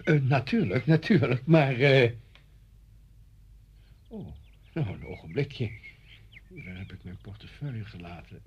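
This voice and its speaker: Flustered householder, male, halting, anxious to oblige, lively intonation, muffled radio play sound. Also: medium pitch. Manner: hesitant, halting. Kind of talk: radio drama acting. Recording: dull lo-fi radio play, faint noise.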